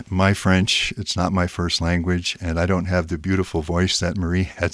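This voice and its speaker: A man's voice: low-pitched, dry recording, clean studio sound. A man, a priest, giving a reflective spiritual talk and carefully reading poetry aloud. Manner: careful, reflective, reads verse aloud slowly.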